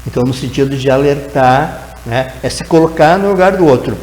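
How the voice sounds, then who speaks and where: A man's voice: mid-range voice, brisk, a little mellow, roomy sound, big-room sound, rough recording. A man, a guest educator answering in a TV interview, explaining at length and tagging his sentences with "né?". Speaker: guest educator in teacher training.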